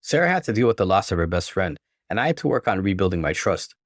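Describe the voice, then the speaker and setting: A man reading a first-person story as narrator, in an expressive, emphatic and dramatic manner. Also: low voice, fast talker, no room sound, clean studio sound.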